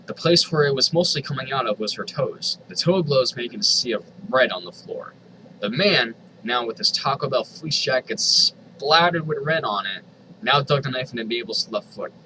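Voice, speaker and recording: A man's voice: mid-range voice, lively intonation, moderate pace, background noise. A man reading a story aloud in a theatrical, comedic way, with incredulous asides. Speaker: host reading fan fiction aloud.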